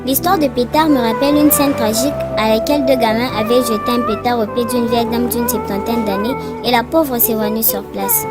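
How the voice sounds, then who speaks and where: A woman's voice: fairly high pitch, a little fast, hardly any pauses, natural voice. A woman playing the directive young leader of a gang of kids in a cartoon. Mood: concerned. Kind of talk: animated cartoon dialogue in French. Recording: loud music bed behind voice.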